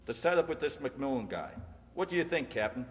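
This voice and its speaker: A seasoned male narcotics inspector played by a man in a radio crime play. His voice is mid-pitched and knowing, short on words, with long hall reverb. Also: big pitch swings, a little fast, close to an everyday voice. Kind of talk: 1950s crime radio drama re-enactment.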